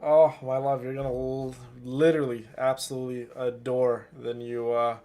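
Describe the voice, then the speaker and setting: A man's voice: mid-range voice, little pitch movement, moderate pace, dark tone. A man chatting casually as a livestream host while he plays a game, effusive and affectionate toward his viewers.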